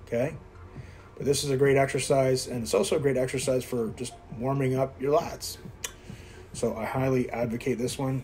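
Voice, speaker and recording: A man in his fifties talks viewers through his gym routine between sets, blunt and self-mocking as he coaches. His voice sits at an ordinary middle pitch for a man, and faint music plays behind him in the gym. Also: a little mellow, low-quality recording. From Quebec, Canada. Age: 50s. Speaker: fitness vlogger. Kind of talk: casual workout commentary. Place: indoor gym.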